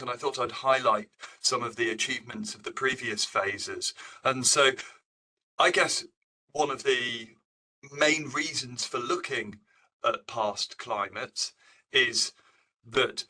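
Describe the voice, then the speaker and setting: Male professor presenting research, very bright forceful voice, mid pitch, informal and halting, self-correcting as he explains. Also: rough recording. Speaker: professor giving a research seminar. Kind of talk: academic slide presentation, explanatory.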